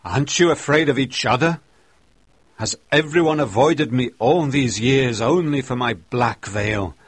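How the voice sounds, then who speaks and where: A man voicing a stern, defiant old male minister on his deathbed, speaking in loud, accusing outbursts. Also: mid-range voice, measured pace, breathy edge, no room sound, voice pushed up.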